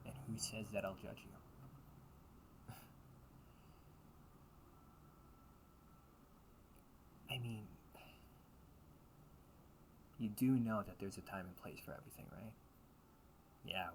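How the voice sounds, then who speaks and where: A man playing a teasing but protective older brother in an intimate audio roleplay, talking casually and earnestly with halting pauses. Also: fairly low pitch, a little hiss or hum, natural voice, poor audio. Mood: earnest, reassuring, then light, reassuring. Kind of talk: intimate audio roleplay monologue addressed to listener.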